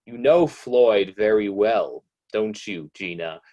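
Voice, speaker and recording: A low-voiced narrator, acted by a man, tells an old-time radio mystery in the second person. He is knowing and ominous, drawing out each phrase very slowly and insinuating guilt as he needles the heroine. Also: expressive, dark tone. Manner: deliberate, insinuating.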